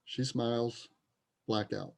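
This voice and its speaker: A man's voice: mid-range voice, steady tone, takes it slowly, vocal fry, darkish tone. A man narrating a play, reading out scripted stage directions.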